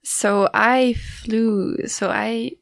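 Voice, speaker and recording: A woman in her twenties with an American accent who grew up in Switzerland, co-hosting a relaxed student radio chat, giggly and trailing off. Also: a little high, drawn-out words, vocal fry, no room sound, clean studio sound.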